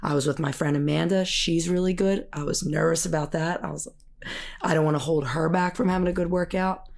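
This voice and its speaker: A woman's voice: low voice, fairly even intonation, fairly quick, vocal fry, darkish tone. An American woman in her thirties chatting casually as a guest in an interview. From Bucks County, Pennsylvania, United States. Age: 30s. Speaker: podcast guest, fitness and gymnastics coach.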